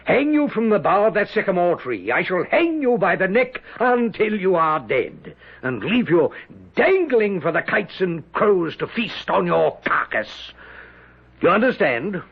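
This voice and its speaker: An actor playing an imperious, wry British general in a 1970s radio drama, commanding and theatrical. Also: male voice, high-pitched, big pitch swings, measured pace, gravelly, breathy edge, heavily acted. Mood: menacing, defiant. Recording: dull lo-fi 1970s radio recording.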